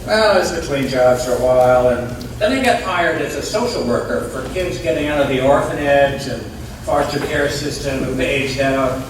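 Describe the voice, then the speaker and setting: A man's voice: medium pitch, normal speed, distant mic, background noise, natural voice. An actor in a live stage play, playing a blunt, coarse-spoken, self-deprecating middle-aged father, recorded in an echoey room from afar. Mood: matter-of-fact, wry.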